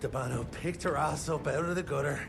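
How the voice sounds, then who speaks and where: An actor playing a sly, talkative male arms-dealer informant, gravelly and hesitant, in a game cutscene. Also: brisk, noisy background. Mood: conspiratorial. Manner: chattering, hesitant, shrill when panicked. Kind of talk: video game cutscene acting.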